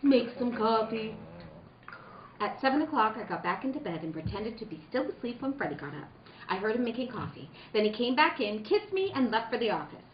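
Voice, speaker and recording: A woman's voice: a little high, sing-song, fairly quick. An actress playing a frightened, sleepless young wife who narrates her nightmares melodramatically in an amateur old-time radio drama. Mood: subdued narration. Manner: melodramatic, swinging from hushed to crying out. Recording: dull, echoey room with faint noise.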